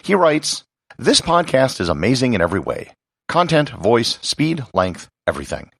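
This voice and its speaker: An American man from Wisconsin hosting a podcast, delivering scripted educational narration in a husky, gravelly voice with measured, emphatic phrasing. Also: medium pitch, sing-song, a bit bright, dry recording, studio quality. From Wisconsin, United States.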